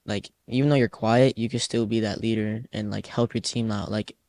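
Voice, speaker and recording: A man's voice: fairly low pitch, steady tone, normal speed, a little mellow. A teenage boy, a youth soccer player, answering interview questions in a loose, rambling way full of "like" fillers. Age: teens.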